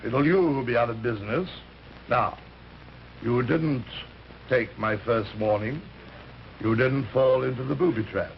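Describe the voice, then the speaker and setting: A man's voice: medium pitch, creaky. An actor in an old radio drama playing an urbane alien recruiter, polite and pompous, hesitating and rambling as he talks. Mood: politely menacing. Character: urbane, genteel alien recruiter posing as an Earthman. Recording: muffled, hissy old radio recording.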